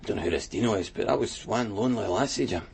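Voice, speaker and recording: An actor playing a chatty, wistful Glasgow tattooist in a radio crime drama, rambling colloquially with a Scottish accent. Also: male voice, fairly low pitch, expressive, fast talker, vocal fry, darkish tone, breathy edge, full character voice. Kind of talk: naturalistic radio drama acting.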